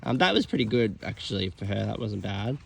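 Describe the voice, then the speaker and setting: A man's voice: fairly low pitch, brisk, vocal fry, slightly rough. A man filming and casually commenting on a horse training session, with lots of fillers. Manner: relaxed, filler-heavy explaining.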